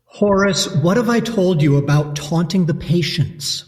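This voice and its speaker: A man's voice: high voice, a little slow, a little mellow, in a large hall. An actor playing a cold, condescending male asylum doctor with formal, precise diction. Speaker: asylum alienist.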